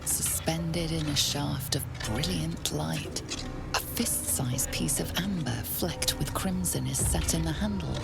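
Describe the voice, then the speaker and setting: A woman giving measured, ominous scripted narration for a game. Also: sits low, vocal fry. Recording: narration over loud music.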